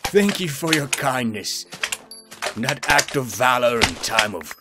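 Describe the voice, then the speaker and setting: A man hosting a casual let's play, excitable and jokey, yelling at game events. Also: medium pitch, a little slow. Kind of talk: casual gameplay chat. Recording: loud game music behind voice.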